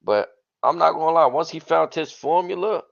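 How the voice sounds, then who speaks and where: A man co-hosting a casual wrestling talk stream, his voice a bit hoarse and raspy from yelling, with relaxed slangy banter. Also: on the high side, normal speed, no echo. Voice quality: slightly raspy. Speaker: wrestling show co-host. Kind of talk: casual livestream chat. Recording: dull dry lo-fi stream audio.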